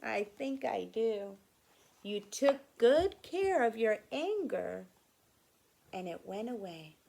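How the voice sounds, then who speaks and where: A woman's voice: a little high, sing-song, slow pace, creaky, mellow, full character voice, rough recording. A woman voicing a kind, affectionate old grandfather in a picture book.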